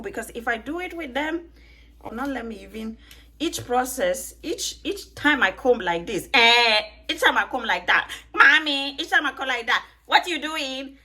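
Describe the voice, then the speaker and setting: A bright-voiced woman in her thirties talks to camera at home, with an accent that blends Ghanaian, Italian, British and American sounds. She chats, jokes and laughs often, and keeps asking her viewers questions as she combs out her hair. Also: high-pitched. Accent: mixed Ghanaian, Italian, British and American. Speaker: vlogger. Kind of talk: casual chat to camera while detangling hair.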